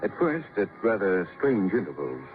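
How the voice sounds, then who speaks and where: A man playing a grave, cultured, mournful doctor with florid, measured phrasing in an old radio drama. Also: low-pitched, big pitch swings. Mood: intense, emotional. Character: grave, cultured, theatrical male society doctor, mournful and guarded. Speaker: actor in a radio detective drama. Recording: muffled old radio broadcast with music.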